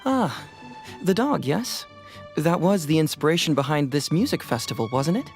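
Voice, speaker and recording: A breezy young detective in a video game. He sounds playful and knowing, with very animated intonation and a teasing, self-assured delivery. Soft music runs behind him. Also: a little high, moderate pace. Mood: intrigued, playful. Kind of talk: video game cutscene dialogue. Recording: music under the voice.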